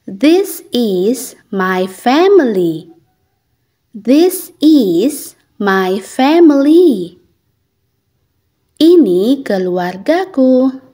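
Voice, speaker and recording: Brief: young woman teaching kids, deliberate drill delivery, bright high voice, very slow and sing-song. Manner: clear, deliberate, lively intonation. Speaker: English teacher for children. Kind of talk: slow repeated phrase drills with translations.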